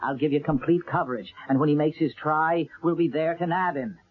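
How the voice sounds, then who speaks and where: Matter-of-fact cop, muffled radio sound. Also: male voice, on the high side, brisk, husky. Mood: persuasive. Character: brisk, skeptical male police lieutenant. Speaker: actor. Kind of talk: old-time radio drama acting. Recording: muffled, narrow-band old radio recording.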